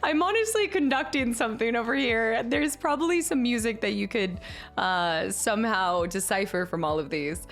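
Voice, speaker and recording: A woman streamer chatting casually with her viewers and thanking them, upbeat, her voice a little raspy today. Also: on the high side, expressive, moderate pace, faint music behind. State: slightly raspy voice. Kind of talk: casual stream chat reading chat. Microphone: streaming mic.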